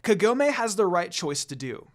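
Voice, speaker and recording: An indignant, loyal young man read out by a male narrator in a fantasy tale. He declares and argues firmly in a fairly high, animated pitch. Music runs underneath. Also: moderate pace. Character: earnest, indignant young man defending his absent friend.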